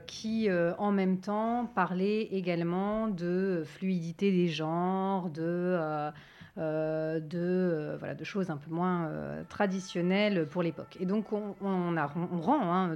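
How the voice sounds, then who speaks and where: A woman speaking as a guest on a radio show, explaining an exhibition while pausing to find her words. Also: fairly low pitch, a little mellow. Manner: searching for words, many pauses. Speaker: guest, art historian. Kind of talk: radio interview answers.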